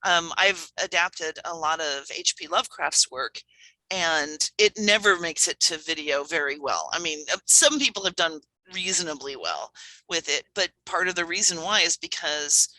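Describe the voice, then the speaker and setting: A woman's voice: low-pitched, lively intonation, normal speed, bright, forceful tone, no echo, low-quality recording. A woman talking casually on a panel, chatty and emphatic, as an audio drama writer.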